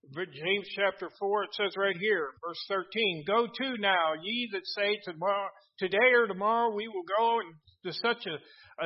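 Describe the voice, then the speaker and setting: Casting: man in his seventies, high-pitched earnest preacher, reads scripture, dull lo-fi recording. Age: 70s. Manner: earnest, reads King James verses aloud, many restarts. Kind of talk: sermon with scripture reading.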